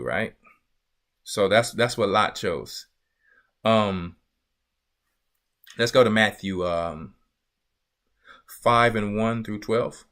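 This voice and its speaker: A man's voice: lowish voice, bright, forceful tone. A man teaching a Bible lesson as a church elder, emphatic and repetitive, often asking listeners if they see his point. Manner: emphatic, repeats phrases and asks listeners "you see". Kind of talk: sermon-style Bible teaching.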